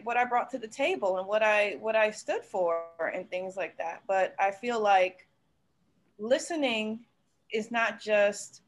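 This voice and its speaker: A woman speaking as a panelist, candidly reflecting on personal experience in an earnest, thoughtful way. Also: medium pitch.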